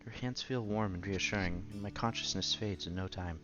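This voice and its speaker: Casting: male game streamer, lowish voice, reading story text aloud with playful asides, slightly dull recording, faint music. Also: fairly even intonation, a little fast. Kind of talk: read-aloud game narration with casual asides. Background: quiet game music.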